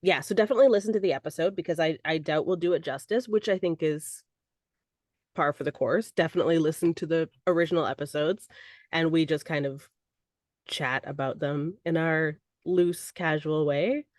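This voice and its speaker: This hoarse, congested young woman chats loosely and teases as she co-hosts. Her voice sits on the low side for a woman and sounds close and dry, with no room around it. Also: moderate pace, darkish tone, no echo. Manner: playful, teasing. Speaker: podcast co-host. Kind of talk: casual chat. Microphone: recording online.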